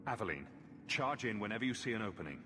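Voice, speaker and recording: Casting: confident game hero, low voice, wry commander, reverberant with clear background noise. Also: male voice, big pitch swings, fast talker. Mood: commanding. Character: confident wry male champion, leader of companions. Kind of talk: video game cutscene acting.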